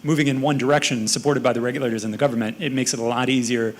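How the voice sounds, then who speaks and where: A man's voice: medium pitch, steady tone, very fast. American man musing on a panel. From United States. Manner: thoughtful, self-correcting, conversational. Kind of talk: panel discussion.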